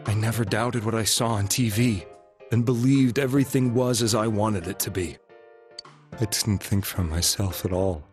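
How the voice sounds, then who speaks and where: An actor playing a remorseful, weary man in a video game, speaking in a subdued, halting, earnest way. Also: on the low side, music in the background, lightly acted. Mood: remorseful, resigned. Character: remorseful, weary male ex-political hopeful confessing his crimes. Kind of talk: English game voice acting.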